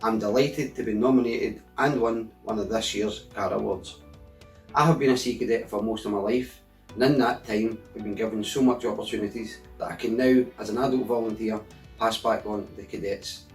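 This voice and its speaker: Low-voiced man in an echoey room. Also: fairly even intonation, moderate pace, soft background music. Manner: earnest, modest. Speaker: award winner, sea cadet volunteer. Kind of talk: recorded thank-you message.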